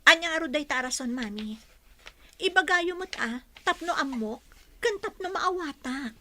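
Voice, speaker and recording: A woman's voice: high voice, animated, normal speed, pushed and bright, dry recording. A young woman acting a pleading, defiant daughter in love, in an Ilocano radio drama. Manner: pleading, insistent.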